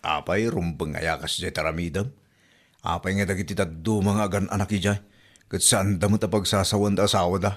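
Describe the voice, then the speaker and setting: A man's voice: low-pitched, fairly even intonation, a little fast. A man with an Ilocano accent playing a flustered, defensive young husband in a comedy radio drama.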